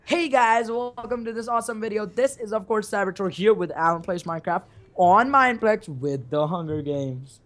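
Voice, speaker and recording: A woman's voice: medium pitch, moderate pace, music in the background. A woman guest playing a game alongside the host, chatting casually and offhand, often trailing off. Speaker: guest co-player. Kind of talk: casual gameplay chat.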